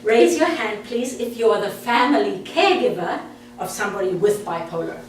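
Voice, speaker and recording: A woman's voice: mid-range voice, lively intonation, normal speed. South African woman presenter, friendly and chatty with the crowd, in a roomy hall well back from the mic. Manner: friendly, direct, chatty with the audience. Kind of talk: interactive talk to an audience. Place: meeting room. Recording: echoey room, far from the mic, faint hum.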